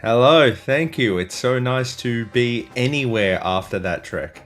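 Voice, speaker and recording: A man's voice: mid-range voice, expressive, a little slow, faint music behind. A man chatting casually as a comedy panel guest, with dry, self-deprecating humour.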